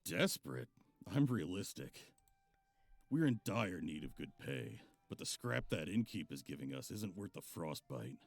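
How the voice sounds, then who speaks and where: Man playing a haughty monster hunter, sardonic lover, deep register, swinging animated delivery, game voice acting. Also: a little slow, gravelly, no room sound, full character voice. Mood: scornful, exasperated. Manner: sarcastic, haughty, old-fashioned wording. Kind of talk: visual novel voice acting.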